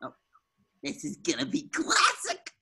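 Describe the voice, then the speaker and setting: A woman voicing an excitable, naive young male cartoon sea sponge in an eager, theatrical way at a table read. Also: extremely high pitch, a little slow, vocal fry, heavily acted. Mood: playful.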